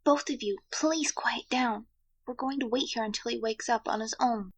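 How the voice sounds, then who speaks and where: A woman's voice: on the high side, normal speed. A woman playing a timid, earnest young princess with a hesitant, stammering manner in an audio drama. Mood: pleading. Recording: music behind the voice.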